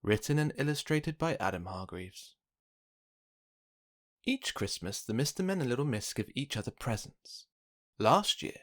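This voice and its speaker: A man narrating a children's picture book, reading aloud with expressive, dramatic emphasis. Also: mid-range voice. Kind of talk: scripted storybook narration for children.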